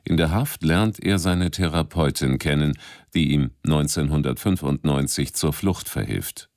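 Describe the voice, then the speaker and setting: A man reading a scripted radio drama introduction in a measured, formal announcer's manner. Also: very deep voice, darkish tone, no room sound, studio quality. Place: dry studio.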